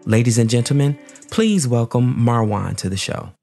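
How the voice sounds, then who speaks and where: A man's voice: mid-range voice, normal speed, vocal fry, mellow, faint music behind. A male host introducing and interviewing a guest in a friendly, conversational way. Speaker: show host. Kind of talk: interview hosting.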